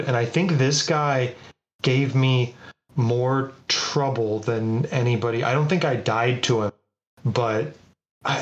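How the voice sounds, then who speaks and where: Medium-pitched man chatting casually. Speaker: podcast co-host. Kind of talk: casual gaming chat.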